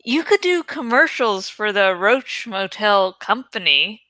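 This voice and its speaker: A woman's voice: medium pitch, lively intonation, measured pace, bright, forceful tone, no room sound. A woman voicing a sardonic, teasing teenage boy from a novel, with a theatrical, mocking manner.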